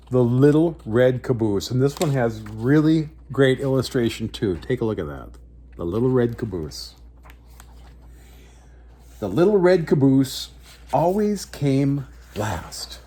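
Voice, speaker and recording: A man's voice: mid-range voice, darkish tone. A man hosting story time, reading a picture book aloud with dramatic phrasing and talking directly to young listeners. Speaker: children's story reader, host.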